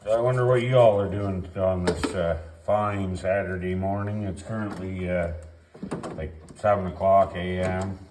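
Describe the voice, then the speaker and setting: A man's voice: deep voice, fairly even intonation, normal speed, echoey room, noisy background. A middle-aged man with a sore throat hosting a casual garage repair video, rambling and folksy with lots of fillers, filmed by his son. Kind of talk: casual how-to commentary. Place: home garage. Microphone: camera held by his son.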